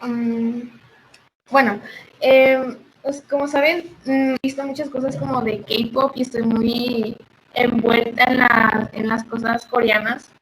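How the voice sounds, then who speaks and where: A woman talks casually as a guest, hesitating often and filling pauses. Her voice sits a little high, and faint noise hums behind her. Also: little pitch movement, poor audio. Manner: hesitant, many fillers, laughs softly. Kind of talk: casual chat.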